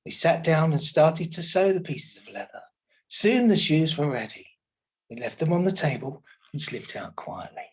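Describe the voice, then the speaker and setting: Breathy man reading a children's story. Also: on the high side, normal speed, rough recording. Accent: English. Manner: expressive storytelling with questions to listeners. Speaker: teacher.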